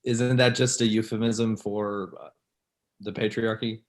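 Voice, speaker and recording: A man's voice: fairly low pitch, flat delivery, measured pace, natural voice. A young man acting a laid-back, unimpressed rival in a naturalistic script read over a video call. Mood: puzzled, dry. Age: young adult. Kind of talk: acted pilot table read, naturalistic. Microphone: computer video-call mic.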